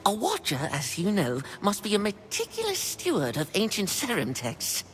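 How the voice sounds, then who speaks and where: Flustered, high-swooping bookish male apprentice. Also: wildly swooping pitch, normal speed, a bit bright, full character voice. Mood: musing, eager. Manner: stammering, fussy, self-correcting, nervous. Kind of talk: video game character dialogue. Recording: long reverb, faint background noise.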